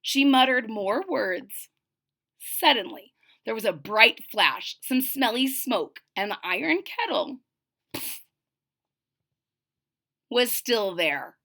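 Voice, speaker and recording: A woman reading a story aloud for children, expressive and teacherly, pausing to explain it simply. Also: a little high, vocal fry. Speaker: storybook reader.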